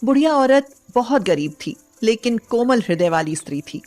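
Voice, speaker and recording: A woman's voice: on the high side, animated, normal speed, darkish tone. A woman narrating a scripted bedtime story as a storyteller.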